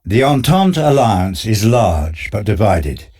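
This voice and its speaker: A man's voice: on the low side, big pitch swings, slow pace, darkish tone, husky. A man giving solemn, dramatic scripted narration for a war game's opening. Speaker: game intro narrator. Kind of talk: scripted documentary-style narration.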